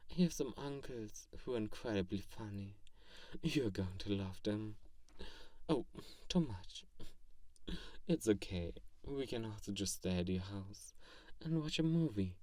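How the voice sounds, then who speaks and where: A man voicing a kindly, grandiose vampire who befriends a lonely human, speaking softly and earnestly in an intimate ASMR roleplay. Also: lowish voice, animated, a little slow, long pauses, no echo, recorded from a distance. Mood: playful, warm. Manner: soft-spoken, earnest, grandiose, gently teasing. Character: kindly, theatrical, self-styled great male vampire befriending a lonely human.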